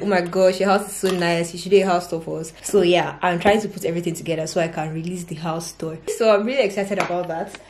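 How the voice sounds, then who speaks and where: A woman's voice: medium pitch, a little hiss or hum. A woman in her twenties chatting casually to camera in her apartment, upbeat and rambling with fillers. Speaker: vlogger. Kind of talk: casual vlog chat to camera. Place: small furnished apartment living room. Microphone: camera at arm's length.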